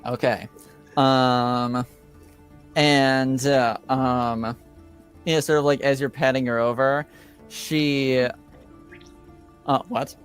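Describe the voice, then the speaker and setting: A game master narrating a tabletop roleplaying session in a hesitant, halting way, searching for words. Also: medium pitch, fairly even intonation, soft background music.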